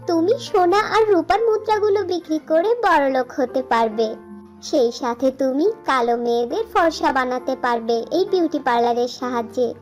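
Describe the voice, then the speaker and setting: Woman acting a very high-voiced kindly fairy. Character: kindly, benevolent fairy woman granting blessings.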